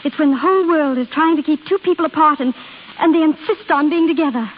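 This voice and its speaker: An actress playing an independent young estranged wife in an old radio drama, earnest and halting, wistful but firm. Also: female voice, sits high, natural voice. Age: young adult. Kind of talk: 1930s-40s American radio drama acting. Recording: scratchy, hissy old radio broadcast, phone-like.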